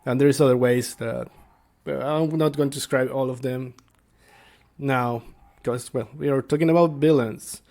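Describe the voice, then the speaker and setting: A man speaking as a tabletop game master, explaining things casually and without a script, halting as he thinks aloud. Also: medium pitch, fairly even intonation, a little mellow. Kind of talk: casual unscripted explanation to viewers.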